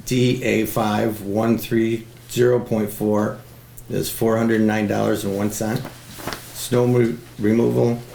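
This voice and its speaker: A man reading a formal highway superintendent's report aloud at a meeting. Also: creaky, a little mellow. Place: indoor public meeting room. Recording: echoey meeting room, faint noise.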